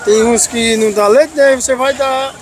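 A man's voice: very high voice, fairly even intonation, moderate pace. A man with a Northeastern Brazilian accent, a market vendor chatting casually outdoors. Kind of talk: casual chat. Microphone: reporter's handheld mic held out.

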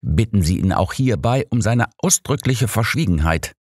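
A man's voice: fairly low pitch, expressive, moderate pace, a little mellow, husky, no room sound, voice pushed up. A man voicing an imperious old master detective in an audiobook, commanding, dry and impatient. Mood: brisk, insistent.

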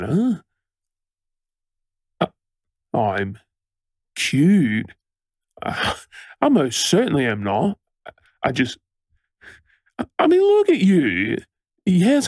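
Husky Australian actor as a frantic, doting boyfriend. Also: male voice, on the high side, a little mellow, no room sound, clean studio sound, heavily acted. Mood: flustered, defensive. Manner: stammering, emotional, affectionate. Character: frantic, tender, fussing boyfriend of a firefighter. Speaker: audio role-play voice actor. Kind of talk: intimate boyfriend role-play, ASMR-style acting.

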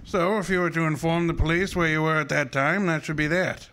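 A man acts out a celebrated radio detective, pompous and dryly witty. His voice sits a little high and has a bright, forward edge. He chooses formal words and delivers them with measured self-importance. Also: fairly even intonation, a little hiss or hum. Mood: coolly probing. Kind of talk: old-time radio detective drama acting.

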